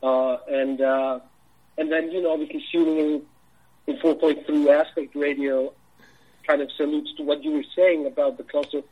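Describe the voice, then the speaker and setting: A man from Iceland with an Icelandic accent, a film director answering interview questions in a halting way, full of restarts. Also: fairly high pitch, little pitch movement, breathy edge, phone-quality sound. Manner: halting, many restarts and fillers.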